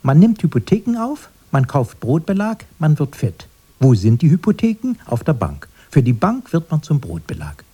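Aggrieved, pompous fairy-tale brother, mellow husky voice, very animated intonation, pedantic, emphatic, radio play. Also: male voice, a little high, normal speed, full character voice. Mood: declaiming. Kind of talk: German radio drama acting.

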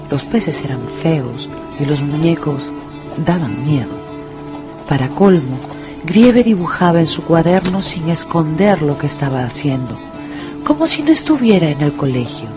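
A woman's voice: low-pitched, big pitch swings, a little slow. A woman with a Peruvian accent narrating a scripted radio story in a dramatic style. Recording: music and schoolyard crowd behind.

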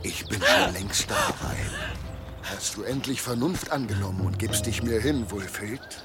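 A man's voice: on the low side, animated, a little slow, over loud music. A man playing an arrogant, seductive, menacing immortal monster god in a radio drama, speaking in a grandiose, taunting way. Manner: grandiose, taunting, dramatic shifts from hushed to loud. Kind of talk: German radio drama acting.

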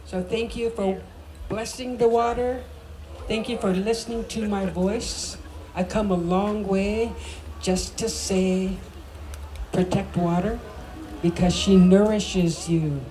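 A woman from North America giving a ceremonial opening speech, solemn and deliberate, in short phrases with pauses. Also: mid-range voice, fairly even intonation, dark tone, slight background noise, poor audio. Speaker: ceremony speaker opening a women's circle.

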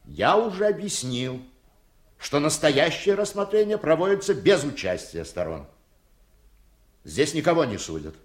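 Stern cranky judge in a radio play, formal and commanding, really swingy pitch, echoey room. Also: male voice, very animated, a little slow. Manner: formal, authoritative courtroom delivery. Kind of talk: Soviet radio play acting. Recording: roomy, echoing radio drama recording.

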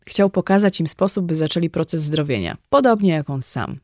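A woman's voice: sits low, expressive, normal speed, a little mellow, no room sound. Female audiobook reader, dry studio sound. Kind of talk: scripted narration, audiobook reading of a dialogue.

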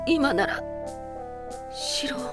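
An actress playing a proud, defiant warrior princess in a radio drama, stern and intense. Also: female voice, high-pitched, lively intonation, extremely slow, fairly bright tone, faint music behind, exaggerated voice. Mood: weakened, urgent. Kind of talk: Japanese radio drama acting.

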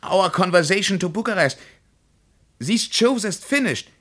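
An actor playing an insistent, easily flustered German traveller in a radio play. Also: male voice, high-pitched, lively intonation, unhurried, a bit bright, full character voice.

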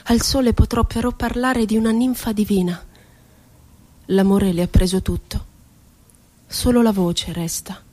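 A woman's voice: mid-range voice, mellow. An actress playing a wry, childlike, wounded woman poet confined in an asylum, in a lyrical theatrical monologue. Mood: tender, solemn. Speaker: stage actress. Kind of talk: theatrical monologue for radio drama.

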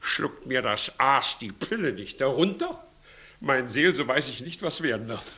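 An actor playing an evasive, flustered village judge who swings theatrically between bullying and coaxing in a classic German radio comedy. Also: male voice, medium pitch, sing-song, unhurried, bright, forceful tone. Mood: flustered, muttering. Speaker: judge in a courtroom.

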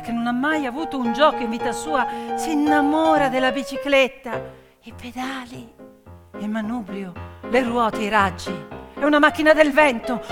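A woman delivering a theatrical narrative monologue, dramatic and emphatic, swinging between hushed and loud delivery. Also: sits high. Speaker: stage actress and storyteller. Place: theatre or hall stage. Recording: long hall reverb, music behind. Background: piano music.

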